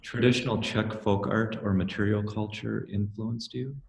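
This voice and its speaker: A middle-aged man moderating an online talk, reading out audience questions in a brisk, businesslike way. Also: fairly low pitch, fairly even intonation, gravelly. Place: art gallery, indoors. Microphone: video call webcam. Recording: echoey room from a distance, faint noise.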